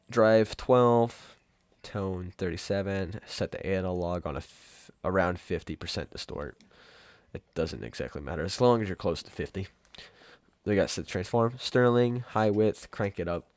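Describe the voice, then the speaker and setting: Deep-voiced man, offhand software tutorial. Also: dry recording. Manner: offhand, rambling, informal with mild swearing. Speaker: tutorial presenter. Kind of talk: casual software tutorial, off-the-cuff.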